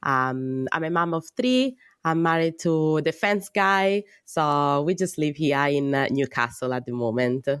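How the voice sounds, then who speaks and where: Low-voiced Italian woman, dry sound. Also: a little slow, hardly any pauses, clean tone, dry recording. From Italy. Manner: laughs often, relaxed non-native phrasing. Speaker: guest, birth coach. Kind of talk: casual interview chat.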